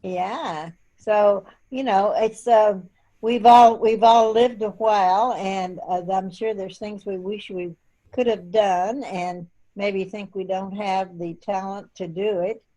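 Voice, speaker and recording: An elderly woman in her eighties talks slowly on a video call. She rambles from thought to thought with plenty of pauses. Her pitch sits right in the middle. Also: fairly even intonation, mellow, dull audio. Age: 80s. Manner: rambling, meandering talk with frequent pauses. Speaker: call participant. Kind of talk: casual video-call chat.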